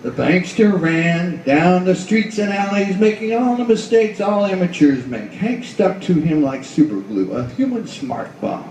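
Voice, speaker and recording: High-pitched, mellow male noir narrator, echoey. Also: sing-song, unhurried, hardly any pauses. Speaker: narrator, author reading his story. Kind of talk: scripted noir radio-play narration. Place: live reading in a roomy venue. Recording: echoey room, distant mic, faint noise.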